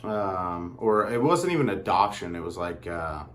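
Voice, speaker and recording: Guy w a lowish voice telling a story super casually, rambly, lots of stops and side notes. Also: expressive. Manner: rambling and halting, with frequent asides.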